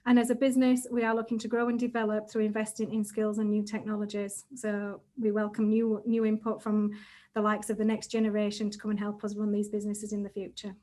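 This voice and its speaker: A middle-aged woman, a chief executive giving a measured, businesslike introduction on a panel. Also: medium pitch, monotone, darkish tone.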